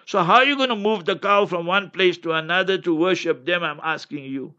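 Male cleric, scornful and blunt commentator, sharp forceful voice pitched a little high, slightly muffled lo-fi recording. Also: a little fast. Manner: acts out quotes and rhetorical questions. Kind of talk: fiery political commentary with religious quotations. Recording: dull lo-fi audio.

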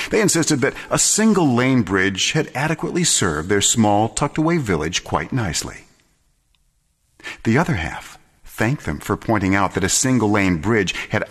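A man narrating a story for a radio drama in a measured, theatrical way. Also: lowish voice, a bit bright, breathy edge, big-room sound.

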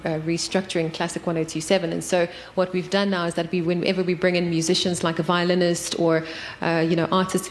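A woman's voice: lowish voice, a little hiss or hum. A woman explaining her work in an informal panel discussion. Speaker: radio programming head on a panel.

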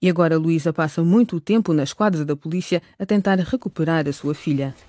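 Give me a radio drama narrator with a medium-pitched voice, recorded dry, telling the story so far. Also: fairly quick, mellow, no echo.

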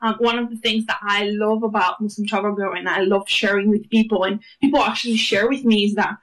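A woman's voice: mid-range voice, fairly even intonation, a little fast, low-quality recording. A woman in her thirties from Greece and Bulgaria, a travel blogger chatting in a radio interview with frequent fillers and light laughs.

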